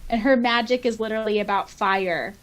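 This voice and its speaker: A woman's voice: a little high, a little fast, rough recording. Chatty, informal woman podcast co-host. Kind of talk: casual fan discussion, reading listener comments aloud.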